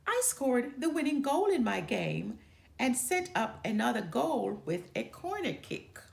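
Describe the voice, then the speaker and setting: A showy young bear cub boy from a children's storybook, acted out by a woman. He brags emphatically and is easily annoyed, in a high, lively voice with slow, deliberate pacing. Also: creaky, echoey room, full character voice. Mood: boastful.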